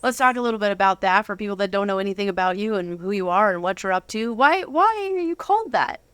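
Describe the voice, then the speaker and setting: A woman hosting a casual studio interview in a bubbly, excitable, joking manner. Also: medium pitch, quick pace, clean tone, no room sound. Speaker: interview host.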